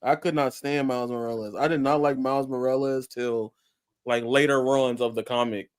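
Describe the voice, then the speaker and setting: A man's voice: medium pitch, steady tone, normal speed, smooth. A man who is a guest on a casual panel talk, speaking hesitantly with fillers and frequently checking "right?".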